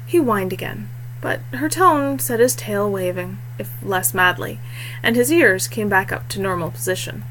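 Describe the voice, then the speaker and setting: A woman's voice: mid-range voice, normal speed, fairly bright tone. A woman in her thirties reading fiction aloud expressively, like an audiobook, recorded at webcam distance in a small room. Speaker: author reading own fiction. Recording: noisy home webcam recording with hum.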